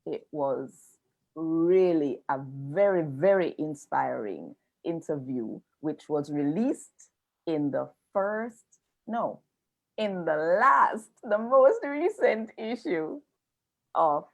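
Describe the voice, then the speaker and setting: A woman with a lilt hosts a live online interview. Her voice sits low, but it swings widely in pitch as she talks, and she takes her time over each phrase. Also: animated, slow pace, highs cut off. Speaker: interviewer and host. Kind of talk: live video-call interview.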